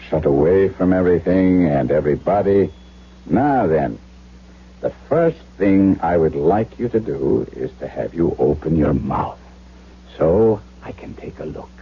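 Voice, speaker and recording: An actor playing an earnest, principled young German doctor in a radio drama, speaking in a measured, formal way. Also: male voice, a bass voice, animated, husky, exaggerated voice. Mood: gentle, persuasive. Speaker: narrator and lead character. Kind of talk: 1970s radio drama acting, with diary narration. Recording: muffled old radio broadcast with hum.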